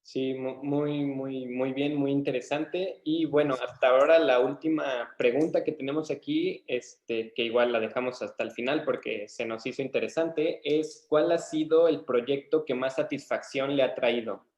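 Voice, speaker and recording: A man's voice: medium pitch, steady tone, a little mellow, highs cut off. A young man politely and hesitantly putting questions to a guest as a student interviewer. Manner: hesitant, halting. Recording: roomy, echoey sound.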